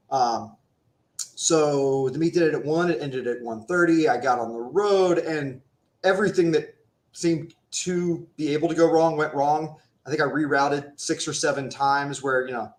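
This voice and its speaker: A man, a podcast guest telling a story in a relaxed, rambling way. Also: mid-range voice. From United States. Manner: relaxed, drawn-out storytelling with fillers. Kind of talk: casual storytelling. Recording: echoey room.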